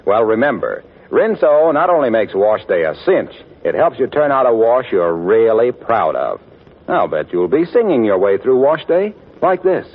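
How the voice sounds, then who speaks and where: A man's voice: mid-range voice, sing-song, normal speed. A man working as a 1940s radio announcer, reading scripted copy in a folksy, persuasive style. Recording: tinny, narrow-band vintage radio recording.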